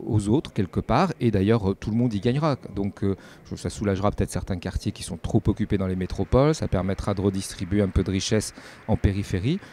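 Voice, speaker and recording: A man giving measured, explanatory spontaneous answers as a local politician in an interview. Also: lowish voice, warm tone. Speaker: mayor and head of a local authority, interviewee.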